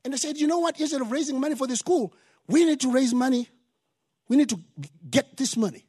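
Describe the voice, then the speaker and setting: A man's voice: very high voice, expressive, fast talker, warm tone, husky. Zimbabwean-accented older man preaching. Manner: emphatic preaching with rising exclamations. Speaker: pastor. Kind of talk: sermon.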